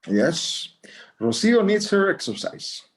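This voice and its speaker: A man playing a gentle, courteous older rancher and father in a screenplay table read, speaking in a polite, measured way. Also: sits high, big pitch swings, full character voice. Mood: friendly.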